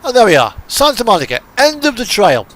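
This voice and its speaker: A man giving casual, unhurried gameplay commentary, thinking aloud as he goes. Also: very high voice, huge pitch swings, fairly bright tone. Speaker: video game player and host.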